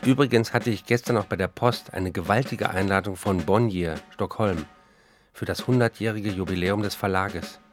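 An actor in a radio play voicing an embattled, wry German novelist of the 1930s, reading out letters and diary entries. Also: male voice, lowish voice, moderate pace, faint music behind, lightly acted. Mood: formal, guarded.

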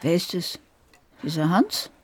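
A woman playing a bitter, fearful middle-aged mother in a radio drama, grave and pleading, with a husky voice. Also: fairly low pitch, sing-song, a little slow, a little hiss or hum. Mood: suspicious.

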